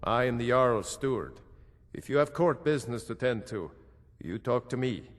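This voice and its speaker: An actor playing a composed, dismissive steward to a jarl in a fantasy game, speaking formally and matter-of-factly. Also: male voice, medium pitch, expressive, moderate pace, natural voice. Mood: dismissive, businesslike. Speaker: game character, court steward. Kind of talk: scripted game dialogue. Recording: long reverberant hall, music underneath.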